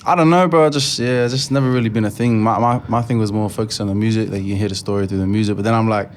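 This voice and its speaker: A man's voice: lowish voice, steady tone, quick pace, a little hiss or hum. Laid-back young Australian man, mumbling. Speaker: guest rapper. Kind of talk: casual interview chat.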